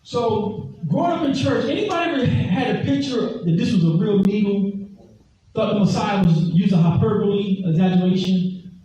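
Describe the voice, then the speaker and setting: High-voiced preacher in an echoey hall. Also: male voice, lively intonation, normal speed, warm tone, muffled recording. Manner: emphatic, repeats scripture references, call-and-response. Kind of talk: teaching sermon. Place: large echoey hall, heard from far off. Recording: echoey hall, distant mic, faint noise.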